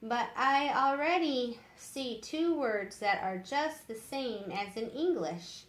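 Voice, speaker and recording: A woman's voice: a little high, animated, slow pace. A woman reading the part of an earnest, curious young man eager to learn, in a storybook. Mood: puzzled. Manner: questioning, plain-spoken. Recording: echoey room.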